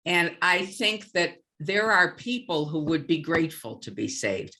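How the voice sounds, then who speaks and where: Low-voiced woman giving blunt opinions. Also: a bit bright. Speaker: book group participant. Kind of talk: opinionated discussion comment.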